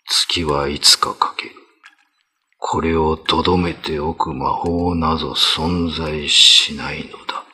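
A man voicing a stern, world-weary old male cat elder in a radio drama, speaking gravely in an old man's phrasing. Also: very deep voice, level tone, slow, sharp tone, voice pushed down, heavily acted. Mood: grave, foreboding.

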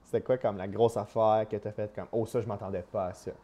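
A man with a Québécois accent interviewing casually, relaxed and chatty, with many short acknowledgements. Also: on the low side, fast.

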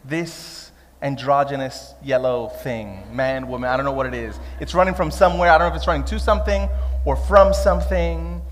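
A man's voice: medium pitch, fairly even intonation, fairly quick, slight background noise. A man, preaching a sermon in a conversational, humorous way that builds to emphatic declarations. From Brooklyn, New York. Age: 30s. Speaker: pastor. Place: church hall. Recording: long reverb of a church hall.

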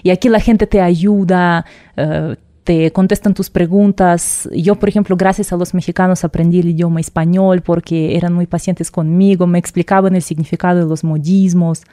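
A young woman explaining things in an unhurried way, her voice low for a woman and dark in tone. The sound is dry and close, with no room around it. She talks thoughtfully, restarting words now and then. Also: fairly even intonation, no room sound. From Russia. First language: Russian. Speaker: interview guest. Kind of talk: casual interview conversation.

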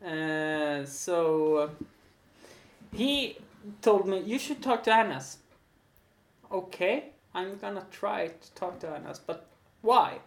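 A woman's voice: on the low side, lively intonation, slow, roomy sound. A woman with a Swedish accent hosting a casual podcast interview, hesitant with many fillers and easy laughs. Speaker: podcast host.

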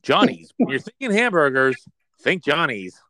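A man's voice: on the high side, sing-song, normal speed, bright, forceful tone. A man co-hosting a casual podcast chat, joking around and slipping into a mock commercial-announcer voice. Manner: playful, slips into a mock ad-announcer voice. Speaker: podcast co-host.

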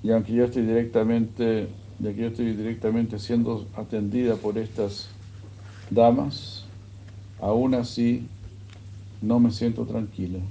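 A man giving a sermon, retelling a scripture story in a slow, measured way. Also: lowish voice, little pitch movement, vocal fry, warm tone, dull audio, a little hiss or hum. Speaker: religious speaker.

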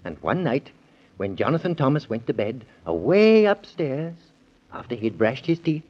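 A gentle father, spins a bedtime tale in a husky voice that rises and falls widely. His playful, indulgent old radio delivery comes through a dull vintage recording. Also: medium pitch, very animated, normal speed. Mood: hushed storytelling. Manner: indulgent, dramatic storytelling with repetitions. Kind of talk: 1930s radio children's drama acting.

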